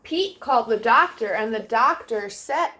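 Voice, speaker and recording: A woman's voice: a little high, animated, a little slow, sharp tone. A woman hosting a children's storytime, reading aloud and addressing young listeners in a sing-song way.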